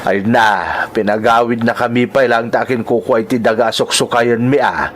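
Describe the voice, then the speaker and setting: A man playing a tired, hardworking elderly farmer father, gentle and reassuring in a drama style. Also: mid-range voice, fairly even intonation, normal speed, a little hiss or hum, close to an everyday voice. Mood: weary, explaining. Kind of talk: Ilocano radio-style drama acting.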